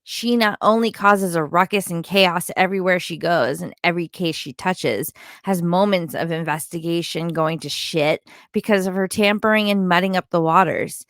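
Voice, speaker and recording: Gravelly-voiced woman giving critical commentary. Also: lowish voice, moderate pace, a bit bright, no room sound, studio quality. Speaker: true-crime commentary content creator. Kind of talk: opinionated news-recap commentary, partly scripted.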